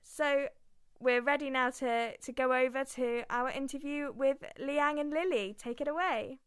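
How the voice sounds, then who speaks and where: A woman's voice: high voice, a little slow, no room sound, studio quality. A young woman hosting a student radio show, talking casually and laughing through technical problems.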